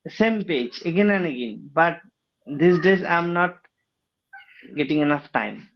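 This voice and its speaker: A man with a Bengali accent, a native Bengali speaker practising English, talking haltingly with many pauses on a video call. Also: on the high side, expressive, gravelly. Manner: hesitant, many fillers and pauses. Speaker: English learner. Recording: dull lo-fi call audio.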